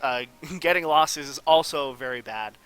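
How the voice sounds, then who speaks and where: A man's voice: a little high, a little slow, fairly bright tone, clean tone, faint music behind, poor audio. A man giving casual, off-the-cuff commentary on a game as host, rambling and analytical as he thinks aloud. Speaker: gaming video host.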